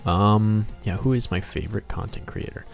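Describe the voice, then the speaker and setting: Low-voiced man chatting casually on a livestream. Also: normal speed, gravelly, faint music behind. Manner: rambling, playful, talking to himself. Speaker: game developer and livestream host. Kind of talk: casual livestream chat while drawing, reading out viewer comments.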